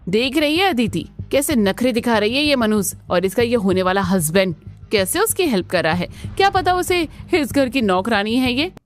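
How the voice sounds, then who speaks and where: A mean young sister in a cartoon drama, high-voiced and exaggerated. She is snobbish and sneering. Music sits under the voice. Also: very animated, moderate pace. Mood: scornful. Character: spiteful, mocking older sisters, young and snobbish. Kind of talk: Hindi family cartoon voice acting.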